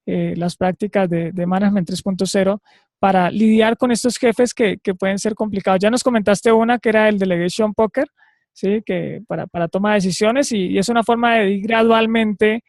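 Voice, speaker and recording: A webinar host asking guests long, winding questions over a video call, repeating words along the way. The voice is mid-pitched and sounds dry and close, with no room echo. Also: steady tone, fairly quick, a little mellow. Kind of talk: interview questions.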